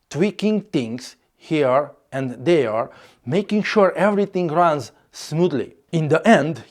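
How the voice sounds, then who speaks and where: Slow-speaking man with a Hungarian accent. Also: on the high side, darkish tone. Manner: measured, slightly non-native phrasing. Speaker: YouTuber. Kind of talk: scripted vlog narration.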